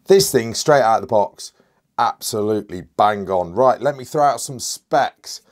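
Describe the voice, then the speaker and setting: A man, reviewing an air rifle in an enthusiastic, chatty way while hoarse and a bit stuffy from a cold. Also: medium pitch, darkish tone. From United Kingdom. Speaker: airgun reviewer. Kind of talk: casual product review.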